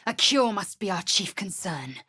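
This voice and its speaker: An actress voicing a proud, stern alien warrior woman in a video game, husky, clipped and imperious in her formal commands. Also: medium pitch, very animated, normal speed, pushed and bright, exaggerated voice. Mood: stern, resolute. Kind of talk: video game character voice lines.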